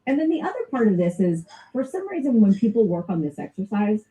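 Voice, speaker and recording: Relaxed Southern woman teaching sales. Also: mid-range voice, fairly quick. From American South. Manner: casual, informal, laughs often. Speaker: sales trainer. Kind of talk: recorded training lesson. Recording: dull lo-fi recording.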